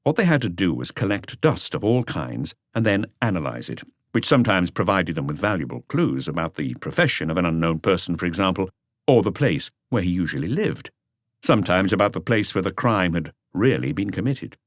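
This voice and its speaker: A man reading a detective story as an audiobook narrator, in a measured, unhurried way. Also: sits low, very animated, no room sound, clean studio sound.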